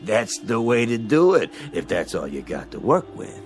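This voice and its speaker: An actor playing a sleazy, cynical, cigar-smoking boxing manager in a radio drama, needling people in slangy sneers. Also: male voice, fairly low pitch, sing-song, normal speed, faint music behind. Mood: smug, taunting.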